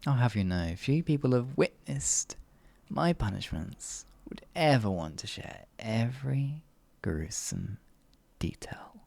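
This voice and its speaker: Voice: medium pitch, big pitch swings, slow, creaky, dark tone, no echo, heavily acted. A performer voicing an evil, sadistic sorcerer in an ASMR fantasy roleplay, theatrical and condescending, stretching words and slipping into menacing whispers. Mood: gloating cruelty. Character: evil, sadistic, all-powerful sorcerer, gloating and cruel.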